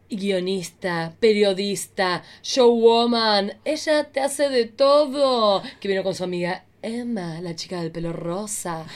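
A woman's voice: medium pitch, a little mellow. Female host, Argentine accent. Casual comic chat, theatrical and cheeky, leaning hard on key words. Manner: theatrical, playful, drawn-out emphasis. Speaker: podcast host.

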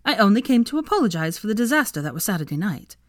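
A woman's voice: mid-range voice, very animated, quick pace, no echo, pitched above the performer's own voice, natural voice. A sly grown woman who needles her friend with smirking, mock-polite remarks, read by a woman. Her voice rises and falls a lot, and the sound is dry and close. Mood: teasing. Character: Ginny, adult woman, sly and teasing.

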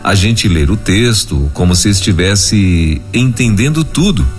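Jovial male radio host with a low voice and lively swings in pitch, on a radio broadcast with faint music in the background. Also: a little slow. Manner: jovial, laughs often, reads listener questions. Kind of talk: live radio chat.

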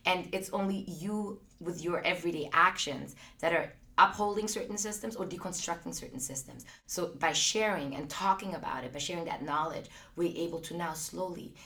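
A woman, a guest in an interview, speaking earnestly and reflectively, often pausing on fillers. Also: lowish voice, bright, forceful tone, far from the mic. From Austria. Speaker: guest, business founder. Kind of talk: conversational interview.

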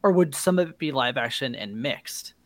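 A man's voice: mid-range voice, lively intonation, normal speed, no echo. A man co-hosting a podcast in casual, conversational chat. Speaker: podcast co-host.

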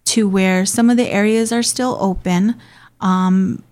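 A woman's voice: mid-range voice, fairly even intonation, a little slow, a little mellow. A woman who grew up in Hawaii, hosting and talking in a casual, earnest way with frequent ums. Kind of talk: casual conversational talk.

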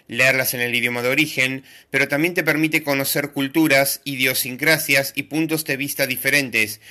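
A man's voice: mid-range voice, bright, forceful tone. A man with a Buenos Aires Spanish accent, fluently and assuredly giving a prepared self-introduction. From Argentina. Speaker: guest speaker.

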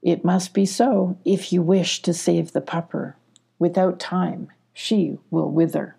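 A woman voicing a knowing, grave mother bear in a children's storybook, explaining things deliberately. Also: lowish voice, fairly even intonation, creaky, dark tone, slightly rough, heavily acted.

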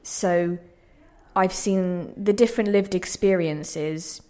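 A woman's voice: fairly low pitch, takes it slowly, mellow. A young woman giving reflective interview answers in a hesitant, self-deprecating, thoughtful way. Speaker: playwright being interviewed.

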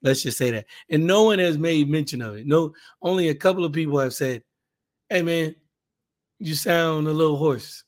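A man with a hoarse, raspy voice, hosting a casual Bible podcast and leading a fervent spoken prayer. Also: fairly high pitch, normal speed. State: hoarse, raspier than usual.

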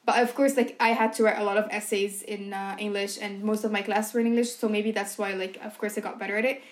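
A woman's voice: medium pitch, level tone, fast. A young Dutch-speaking woman from Belgium, co-hosting a casual podcast chat and filling her talk with "like" and "um". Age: young adult. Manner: chatty, heavy use of "like" and "um". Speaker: podcast co-host.

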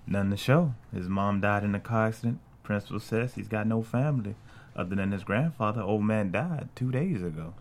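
An actor plays a world-weary man from a film drama, guarded about his past. His voice sits fairly low, and his delivery is understated and terse. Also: a little fast, lightly acted. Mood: subdued, guarded. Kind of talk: acted table read of a drama screenplay.

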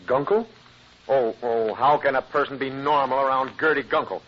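Actor as flustered husband, dull old recording. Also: male voice, medium pitch, normal speed, natural voice. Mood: glum. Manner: hesitant, flustered. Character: flustered, sheepish middle-aged husband and father. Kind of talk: old-time radio comedy acting.

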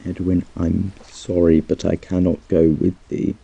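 A man's voice: deep voice, level tone, unhurried, gravelly, warm tone, husky, muffled recording, heavily acted. A man playing a guilt-torn male companion, speaking in solemn, old-fashioned dialogue for a drama. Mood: tender. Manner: solemn, old-fashioned speech rising to desperate pleading.

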